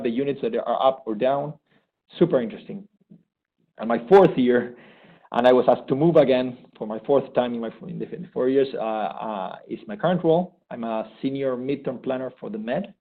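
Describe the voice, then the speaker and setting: A man, giving an eager, halting testimonial with many restarts. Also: medium pitch, creaky. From Argentina. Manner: enthusiastic, halting with frequent restarts. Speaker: graduate program participant. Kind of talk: unscripted testimonial. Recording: thin phone-like sound.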